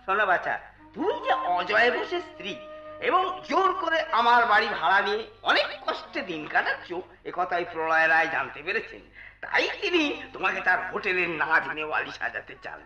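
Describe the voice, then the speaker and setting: Nasty wheedling landlord in a jatra play, really swoopy voice, echoey and far off. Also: male voice, high-pitched, big pitch swings, fairly quick, sharp tone, lo-fi sound, exaggerated voice. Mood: sly wheedling. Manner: cackling, insistent, repeats his phrases. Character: wheedling, menacing, greedy male landlord. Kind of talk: Bengali jatra folk theatre melodrama. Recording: echoey, distant stage-play recording with music.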